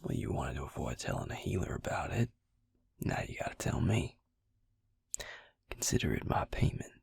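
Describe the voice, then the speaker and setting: A man playing a gentle, wry vampire healer in an intimate ASMR roleplay, speaking slowly and soothingly in a breathy, husky near-whisper. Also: very deep voice, very animated, heavily acted. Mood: wry, coaxing. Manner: slow, soothing, folksy endearments.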